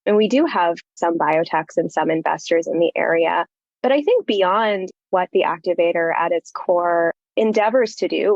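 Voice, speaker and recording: A woman's voice: lowish voice, vocal fry, darkish tone, no echo, muffled recording. A young woman speaking articulately and thoughtfully in an interview. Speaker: nonprofit leader. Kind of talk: interview answers.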